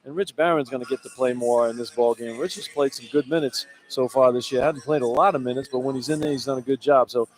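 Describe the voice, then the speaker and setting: Fast-talking man, sports radio analyst. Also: mid-range voice, steady tone, rough recording. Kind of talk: conversational live sports analysis. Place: courtside in a sports arena.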